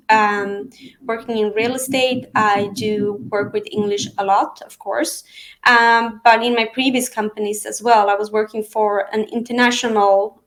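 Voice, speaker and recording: A woman from Sweden, whose first languages are Aramean and Arabic, talking through her path in real estate in a relaxed interview with frequent fillers and restarts. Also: fairly high pitch, low-quality recording. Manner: thoughtful, many fillers and restarts. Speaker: real estate agent, guest. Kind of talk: casual interview conversation.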